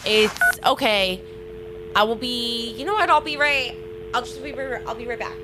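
A woman playing a flustered, evasive agent in an improvised audio drama, stalling with halting, repeated phrases. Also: on the high side, background noise, natural voice. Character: flustered, stalling female time agent bluffing her way out.

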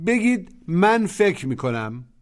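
A male language teacher gives short prompts and pronunciation pointers for a recorded course. He speaks at a rapid clip, and his pitch rises and falls a lot. His voice sits a little above the usual male range. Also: big pitch swings. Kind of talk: recorded language lesson instruction.

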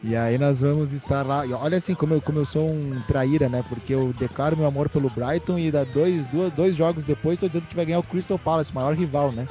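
A man from southern Brazil hosts a casual football podcast, joking and teasing his guests. His voice is mellow and warm, pitched a touch high for a man, with faint music in the background. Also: fairly quick, few pauses. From Rio Grande do Sul, Brazil. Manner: joking, playful banter. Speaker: podcast host.

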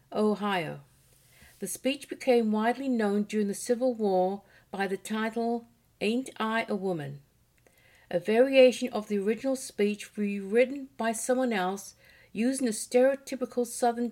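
A woman reading out a history lesson in a steady, measured voice. Her pitch sits in an ordinary middle range, and she keeps an even, deliberate pace. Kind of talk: read-aloud history lesson.

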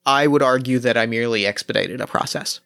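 A man voicing a male android test pilot who speaks in a halting, measured and oddly formal way. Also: medium pitch, creaky, fairly bright tone, clear, resonant tone. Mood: matter-of-fact.

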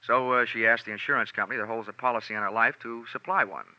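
A dull vintage radio recording carries a sardonic, flippant investigator. His voice is mid-pitched and very sharp and forceful, delivered in classic 1940s detective drama style. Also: fairly even intonation, quick pace, no echo. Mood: questioning. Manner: wry, sardonic. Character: wry, flippant male freelance insurance investigator. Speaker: lead actor and narrator. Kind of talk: 1940s radio detective acting, wry first-person narration.

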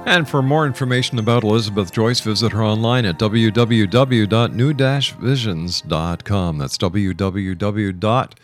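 A man's voice: lowish voice, moderate pace, hardly any pauses, soft background music. A man hosting a radio show, introducing his guest and asking interview questions.

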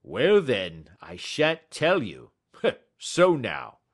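A man voicing a belligerent, gruff poultry salesman who talks loud and combative in an audiobook story. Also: medium pitch, big pitch swings, slow pace, voice pushed up.

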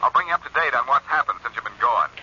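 Sharp, telephone-filtered radio detective. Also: male voice, mid-range voice, expressive, racing, slightly rough, heavily acted. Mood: friendly, brisk. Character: friendly, wry, commanding detective boss. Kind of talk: 1940s radio acting. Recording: muffled telephone line, old radio.

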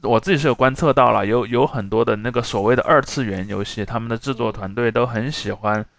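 A man's voice: mid-range voice, quick pace, vocal fry, a bit bright, slight background noise. A man co-hosting a casual discussion podcast, explaining step by step and rephrasing as he goes. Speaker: podcast co-host.

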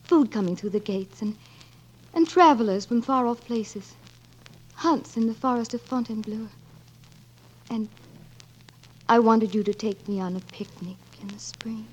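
An actress playing a highborn, romantic young lady, earnest and courtly, with a husky, breathy voice in 1940s radio drama style. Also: measured pace, long pauses. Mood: tender, earnest. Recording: dull vintage 1940s radio broadcast.

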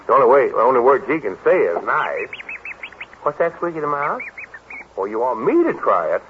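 A folksy man hosts a children's comedy show, stammering and joking with a lively, bouncing delivery. The old broadcast sounds dull and muffled, with a faint hiss underneath. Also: mid-range voice, moderate pace. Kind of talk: vintage radio comedy.

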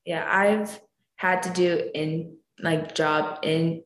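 A teenage girl guest in casual chat who stutters on sounds and talks in a deliberate, open way. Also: low voice, steady tone. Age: teens. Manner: stutters, speaks openly and deliberately. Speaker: podcast guest. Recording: roomy with faint noise.

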